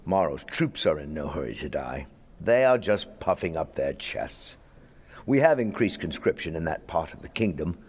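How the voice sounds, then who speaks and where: An actor playing a stern army general in a theatrical audio drama, urgent and military in manner. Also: male voice, rumbling low, sing-song, moderate pace, breathy edge, full character voice. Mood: confident. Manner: forceful, military.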